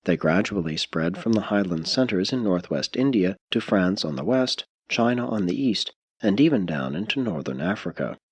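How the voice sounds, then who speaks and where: A man reading a scripted audiobook narration in a measured, formal way with clearly phrased sentences. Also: low-pitched, lively intonation, no echo. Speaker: audiobook reader.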